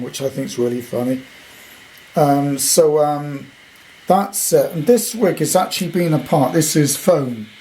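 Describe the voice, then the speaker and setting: A man's voice: fairly high pitch, a little mellow, slight background noise, rough recording. A British man in his sixties giving a casual, rambling, unscripted show-and-tell as a hobby collector. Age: 60s. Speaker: radio collector presenting his item.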